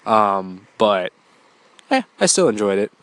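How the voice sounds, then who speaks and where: A man's voice: lowish voice, very animated, slow pace, a bit bright, clean tone. Congested man rambling through a review. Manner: rambling, filler-heavy, swears casually. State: congested from a cold. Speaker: podcast host. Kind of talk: casual solo movie review.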